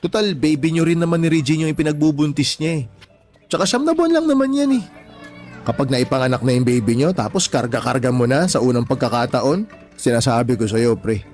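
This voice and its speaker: A man plays an easygoing family man in a radio drama. He speaks at a middle pitch, chatty and colloquial, soothing and advising his pal. Soft music runs behind him. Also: expressive, a little fast, natural voice. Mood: reassuring advice. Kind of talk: Tagalog radio drama acting.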